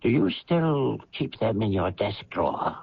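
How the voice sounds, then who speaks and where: Husky, gravelly probing male doctor. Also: lowish voice, big pitch swings, no room sound, full character voice. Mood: coaxing, knowing. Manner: deliberate, questioning, pressing. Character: probing, firm doctor confronting a troubled woman. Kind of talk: radio drama acting. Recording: dull old radio recording.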